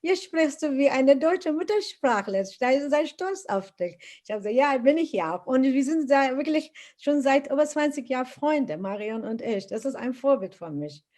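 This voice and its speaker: Earnest woman, slightly high voice. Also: normal speed, few pauses. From Iraq. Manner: earnest, animated retelling with many hesitations. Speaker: interviewee. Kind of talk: personal storytelling interview.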